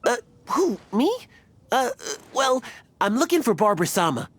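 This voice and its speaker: A man's voice: very high voice, very animated, normal speed, slight background noise. A man voicing an earnest, fussy, hesitant young fan club leader in a video game. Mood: earnest, eager. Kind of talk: video game character dialogue.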